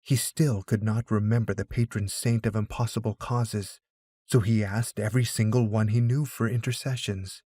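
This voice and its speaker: A man's voice: on the low side, steady tone, moderate pace, warm tone, slightly rough, no room sound. A man narrating a scripted story as an audiobook reader.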